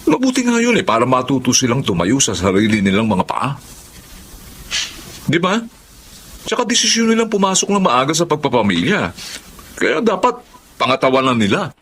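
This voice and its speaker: A man's voice: mid-range voice, huge pitch swings, normal speed, long pauses, fairly bright tone, breathy edge, heavily acted. A man acting a stern, dismissive grown son with a Filipino accent in an audio melodrama.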